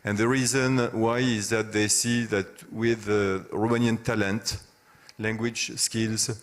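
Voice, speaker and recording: Halting low-voiced man on a panel. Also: flat delivery. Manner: hesitant, halting delivery with long pauses. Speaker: business executive on a conference panel. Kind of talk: unscripted panel discussion. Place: business conference.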